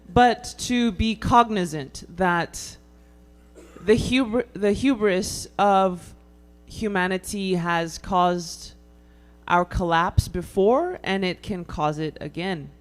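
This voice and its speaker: A woman answering a panel question in a hesitant, quiet way, with many pauses and ums. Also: lowish voice.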